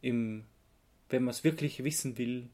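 A man co-hosting a casual podcast, speaking in a halting, hesitant way. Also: mid-range voice, mellow. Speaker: podcast co-host.

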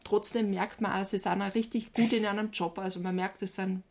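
A woman's voice: mid-range voice, fairly even intonation, a little fast, creaky. Austrian woman chatting casually as podcast co-host. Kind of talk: casual chat.

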